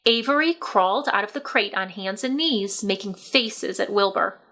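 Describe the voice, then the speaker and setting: Bright-voiced woman reading a children's storybook. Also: mid-range voice, normal speed. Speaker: children's book reader. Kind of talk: expressive storybook read-aloud narration.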